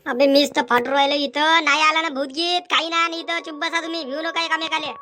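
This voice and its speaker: A performer voicing a brash, swearing village young man with a Vidarbhi Marathi accent, in a rapid, scolding style. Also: sits high, fairly even intonation, sharp tone, a little hiss or hum. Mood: bossy. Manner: rapid, scolding, abusive banter. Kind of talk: cartoon comedy voice acting.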